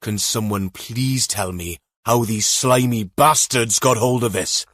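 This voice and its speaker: A man voicing a gruff, irritable senior police detective, curt and muttering, in a thriller audiobook. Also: fairly low pitch, a little slow, fairly bright tone, no echo, studio quality. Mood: angry, curt.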